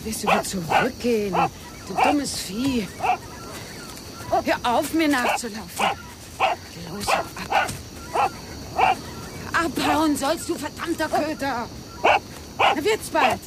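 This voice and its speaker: An actress playing a determined, insistent woman with a sharp temper in a radio crime drama. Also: sits high, animated, takes it slowly, long pauses, heavy background noise. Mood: angry, threatening.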